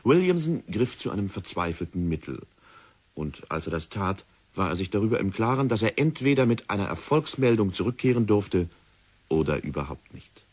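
Deep-voiced man narrating a radio play. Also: sing-song, slightly rough. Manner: measured, dramatic storytelling. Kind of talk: scripted radio drama narration.

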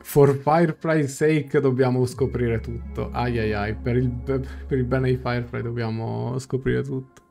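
A man's voice: mid-range voice, steady tone, a little slow, vocal fry, hardly any pauses, warm tone. A young man casually translating game dialogue aloud and musing as he goes, in a live reaction stream. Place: small indoor room. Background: game music.

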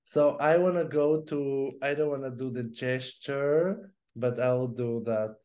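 Animated man chatting over a video call. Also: mid-range voice. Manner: animated, quick bursts of speech with fillers and laughs. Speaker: co-host. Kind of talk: casual chat on a video call. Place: home room with plants.

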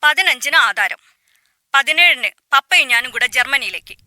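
A woman playing an impatient adult daughter calling her father, brisk and firm. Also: sits high, expressive, pushed and bright, no echo, clean studio sound, exaggerated voice. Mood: insistent. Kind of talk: radio drama acting.

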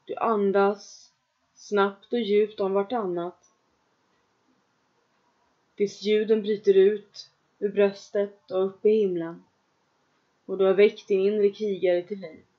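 Calm woman guiding a trance meditation. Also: mid-range voice, dull audio. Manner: calm, slow second-person instructions with long pauses. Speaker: meditation guide.

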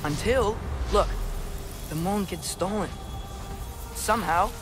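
Earnest, higher-voiced teenage boy. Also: takes it slowly. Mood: eager, explaining. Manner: earnest, explaining eagerly. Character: earnest, eager teenage boy, persuasive and headstrong son. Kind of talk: dramatic video game acting. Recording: clear music behind the voice.

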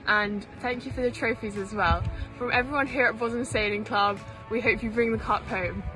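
This teenage girl sounds bright and slightly high, speaking in an earnest, polite way. She records it outdoors on a phone held close, and a music bed runs underneath the whole time. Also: fairly even intonation, moderate pace, low-quality recording. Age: teens. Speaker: sailing club cadet captain. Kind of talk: prepared video message. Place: outdoors by a harbour.

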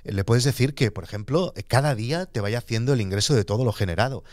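A man in his forties, hosting a podcast, calmly and methodically explaining how his business handles money. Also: medium pitch, quick pace, no echo, clean studio sound. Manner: calm, methodical, explains terms as he goes. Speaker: podcast host and entrepreneur. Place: small studio in front of a blue backdrop. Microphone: studio microphone on a boom arm.

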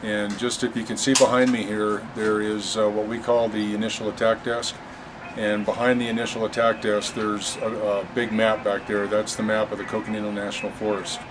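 A man in his fifties giving an informal, matter-of-fact on-camera explanation of his workplace as a fire staff officer, with halting delivery. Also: fairly low pitch, little pitch movement. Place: busy open dispatch office, indoors. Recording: clear background noise, air-conditioning hum.

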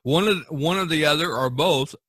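A man hosting a podcast in a casual chat, speaking in a halting, folksy and encouraging way. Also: fairly high pitch, a bit bright, slightly rough, no room sound, poor audio. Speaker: podcast host.

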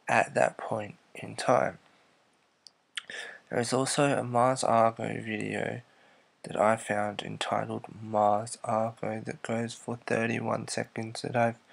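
A man with a low, deeply gravelly voice that stays flat and unhurried. He narrates a screen recording as a voice-over, explaining each step and letting sentences trail off quietly. The tone is husky and measured. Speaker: YouTuber.

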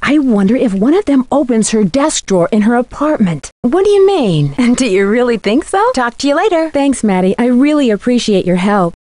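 Teenage girl sleuth, American accent, highish voice, dry close recording, earnest, inquisitive, video game dialogue. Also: big pitch swings, moderate pace, hardly any pauses, dark tone, no room sound. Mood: earnest, intrigued, then friendly. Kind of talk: scripted video game voice acting.

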